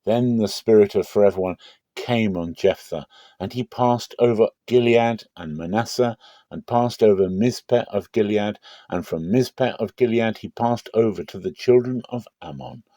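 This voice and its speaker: Male scripture narrator, dark soft-edged tone, measured and solemn delivery, close dry sound. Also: fairly low pitch, no echo. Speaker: Bible reader.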